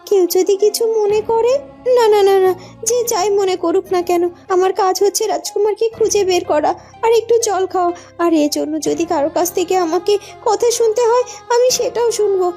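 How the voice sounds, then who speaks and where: Woman voicing a very high-pitched earnest cartoon maiden. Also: fairly quick, mellow, faint music behind. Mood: determined. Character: determined, weary young woman searching for her prince. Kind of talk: cartoon dubbing, melodramatic fairy tale.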